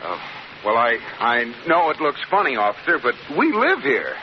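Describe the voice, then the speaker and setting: An actor playing a playful, blustering middle-aged husband with easily wounded pride in an old radio comedy. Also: male voice, medium pitch, huge pitch swings, moderate pace. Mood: flustered. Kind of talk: 1940s-50s radio situation comedy acting. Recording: dull old radio recording, noisy, audience behind.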